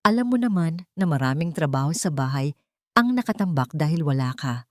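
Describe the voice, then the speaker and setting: Stern older matriarch, read by a female narrator, low-pitched, commanding, reproachful, audio drama scolding. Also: animated, brisk, no echo, studio quality. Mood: impatient scolding. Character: stern, domineering elderly mother-in-law, scolding and impatient.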